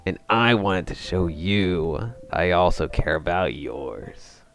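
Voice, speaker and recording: A laid-back young hedgehog hero from a cartoon, acted by a man, low and crackly in voice. He drawls slowly, casual and teasing, with a fond streak. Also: animated, faint music behind, heavily acted. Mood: fond, amused. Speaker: voice actor in a fan comic dub. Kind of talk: acted cartoon comic dub.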